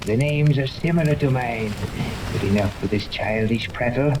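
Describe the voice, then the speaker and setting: An actor in a 1930s radio serial playing a cold, haughty scientist guide, precise and condescending. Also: male voice, medium pitch, moderate pace, close to an everyday voice. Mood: imperious. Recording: old radio recording with rain-like noise.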